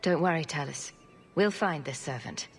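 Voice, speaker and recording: A woman playing a diplomatic, polite noble heroine in a fantasy game, courteous and measured. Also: sits low, breathy edge, faint music behind. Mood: reassuring. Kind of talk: fantasy game dialogue acting.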